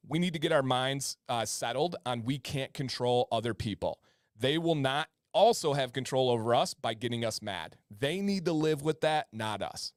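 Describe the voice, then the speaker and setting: Man, thirties, live-stream host, casual chat, mid pitch, dry, restarts sentences. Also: dry recording. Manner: chatty, repeats words and restarts often.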